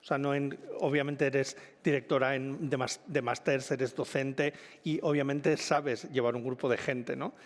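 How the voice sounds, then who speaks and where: A man's voice: medium pitch, fairly even intonation, unhurried, few pauses. A man with a Spanish accent from Spain hosts an informal interview, rambling and correcting himself as he goes. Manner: rambling, enthusiastic, self-correcting. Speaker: event presenter and interviewer. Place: indoor launch event venue. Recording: reverberant event hall.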